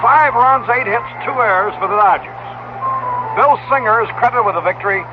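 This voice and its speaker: A man's voice: high voice, fairly quick, over music. A man doing live play-by-play baseball commentary as a radio announcer. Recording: dull, noisy old AM radio broadcast.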